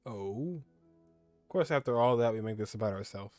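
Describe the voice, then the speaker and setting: Lowish-voiced man doing casual gameplay commentary. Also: animated, normal speed, darkish tone, faint music behind. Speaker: gaming video host.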